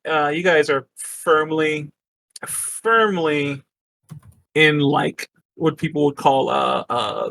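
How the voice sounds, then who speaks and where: A man's voice: a little high, creaky, a bit bright, no echo. Rambling male game master, dry close mic. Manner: loose, rambling scene-setting with fillers. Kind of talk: casual tabletop game narration.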